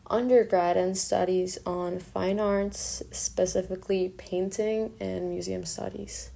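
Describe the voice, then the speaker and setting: A woman's voice: on the low side, vocal fry, dark tone. A young woman with a Colombian accent, a native Spanish speaker, talking informally and reflectively about herself as an artist. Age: young adult. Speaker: artist and fine arts student. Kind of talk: informal self-introduction monologue.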